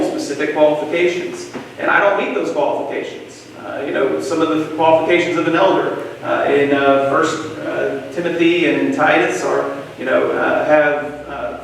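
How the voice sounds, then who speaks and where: Brief: young male preacher, slightly high voice, relaxed and hesitant teaching, long hall echo. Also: vocal fry, a little hiss or hum. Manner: casual, halting, many "uh" fillers. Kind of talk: Bible class teaching. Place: church meeting room. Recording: echoey church hall, far from mic.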